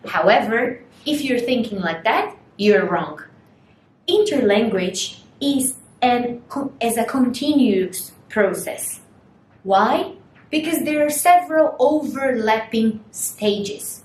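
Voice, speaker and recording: A young woman whose first language is Portuguese gives a recorded university lecture, explaining slowly and putting rhetorical questions to students. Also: animated, darkish tone. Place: small studio room in front of a screen. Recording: echoey room, faint rumble.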